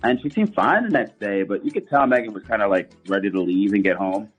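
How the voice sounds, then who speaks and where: A man's voice: fairly low pitch, lively intonation, brisk, slightly rough, highs cut off, faint music behind. A man calling a radio show, talking casually in a hesitant, subdued way. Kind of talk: casual phone conversation.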